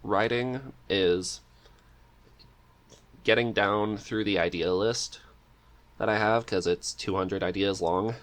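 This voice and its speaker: A man's voice: fairly low pitch, takes it slowly, pauses often, fairly bright tone. A man talking casually as a podcast guest, chatty and eager in manner.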